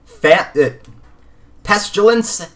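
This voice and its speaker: A man's voice: sits high, slow, a bit bright. A man co-hosting a casual podcast, explaining things in an opinionated, correcting way. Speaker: podcast co-host.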